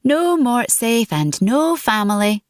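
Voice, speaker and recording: A woman's voice: fairly high pitch, big pitch swings, takes it slowly, dry recording, studio quality, pitched above the performer's own voice. A woman voicing a sly, streetwise teenage girl who spies for body snatchers, talking in an offhand, colloquial way.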